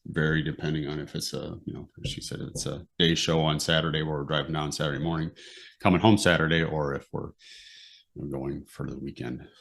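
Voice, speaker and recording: A man's voice: rumbling low, level tone, a little fast, vocal fry, a bit bright. An American man chatting casually and in a laid-back way as a co-host on a podcast. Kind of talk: casual chat.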